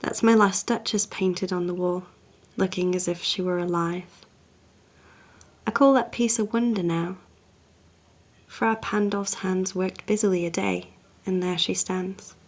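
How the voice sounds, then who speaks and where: A woman playing a cold, proud, possessive Renaissance duke in a poetry monologue, speaking in a haughty, measured, hushed and insinuating way. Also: fairly low pitch, steady tone. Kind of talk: dramatic monologue, poetry reading.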